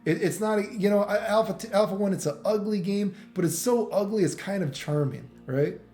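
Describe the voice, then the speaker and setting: A man's voice: high voice, brisk, warm tone, reverberant, faint music behind. A man giving casual, off-the-cuff game commentary in an opinionated, rambling, sweary way. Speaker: gaming video commentator.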